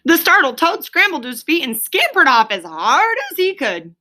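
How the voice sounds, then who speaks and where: A woman's voice: high voice, wildly swooping pitch, normal speed, heavy background noise. A woman reading a children's story aloud expressively, as a storyteller who explains things to a listener. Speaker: reader, storyteller.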